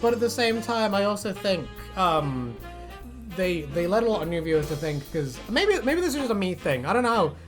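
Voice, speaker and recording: A man's voice: high-pitched, very animated, normal speed, over music. A man hosting a casual fan discussion, chatting in a rambling, sweary way. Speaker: video host.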